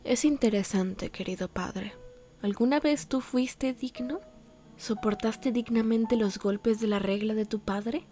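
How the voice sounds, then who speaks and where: Woman voicing a low, bitter teenage boy diarist. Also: dark tone, natural voice. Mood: cold, menacing. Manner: formal, measured, bitter. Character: resentful teenage violinist boy writing in his diary. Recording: music under the voice.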